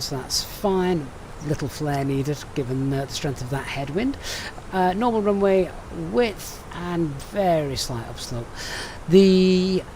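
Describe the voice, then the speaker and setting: A man's voice: fairly high pitch, darkish tone, slight background noise, low-quality recording. Chatty Sheffield man briefing a flight. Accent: Sheffield English. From Sheffield, England. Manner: methodical, chatty, reads out viewer comments. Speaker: flight sim streamer. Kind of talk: live-stream briefing and chat.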